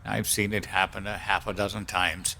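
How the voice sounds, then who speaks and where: A man playing an uneasy, apologetic mailman in an audio drama, speaking in a halting, hesitant way. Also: low-pitched, flat delivery, gravelly, sharp tone, a little hiss or hum, exaggerated voice. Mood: somber explaining. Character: apologetic, uneasy mailman bearing grim summonses.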